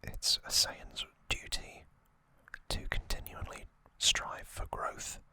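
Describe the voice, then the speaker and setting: A host whispering an ASMR recap in a soft, unvoiced, unhurried voice with frequent pauses.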